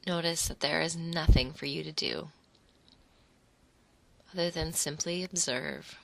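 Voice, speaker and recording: A woman leading a guided imagery meditation in a soothing, unhurried manner, speaking in short phrases with pauses between them. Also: low voice, creaky. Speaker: guided relaxation narrator.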